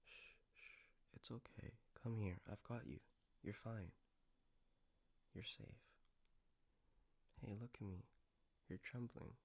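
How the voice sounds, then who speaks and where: A man playing a gentle, doting partner in an intimate comfort roleplay, speaking tenderly and haltingly with a playful touch. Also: fairly low pitch, steady tone, gravelly, full character voice. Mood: soothing. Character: gentle, doting male partner soothing a lover after a nightmare.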